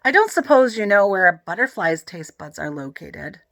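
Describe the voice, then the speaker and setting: A woman's voice: medium pitch, big pitch swings, moderate pace, no echo. A woman voicing a smug, precise know-it-all schoolgirl in a children's story.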